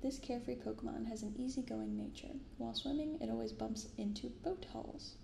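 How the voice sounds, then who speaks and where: A young woman speaking softly in an ASMR style, unhurried as she comments and reads aloud. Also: fairly high pitch, creaky, roomy sound, noisy background. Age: young adult. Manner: unhurried, hushed commentary and reading from cards. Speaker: host of a card-opening channel. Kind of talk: soft-spoken ASMR. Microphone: binaural microphone.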